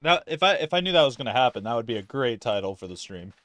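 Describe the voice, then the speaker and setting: A man livestreaming a video game, reacting casually in a jokey, excitable way. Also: medium pitch, fast, no echo. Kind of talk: casual live reaction commentary.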